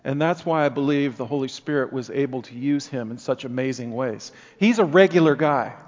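A man preaching a sermon in earnest, building emphasis and then dropping to quieter passages. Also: medium pitch, brisk, long reverb tail, dull audio. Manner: earnest, rising and falling emphasis.

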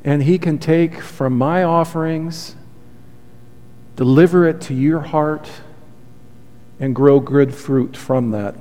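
A man's voice: fairly high pitch, slow, pauses often. A man preaching a sermon as pastor, earnest and heartfelt, with a soothing voice. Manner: earnest, heartfelt preaching with soft confessional asides. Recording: long hall reverb.

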